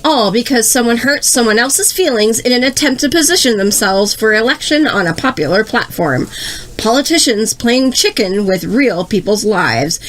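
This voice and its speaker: A woman's voice: fairly high pitch, lively intonation, moderate pace, a bit bright. A woman playing an earnest, exasperated radio news co-anchor who delivers satirical reports in a serious, pointed way. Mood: disgusted.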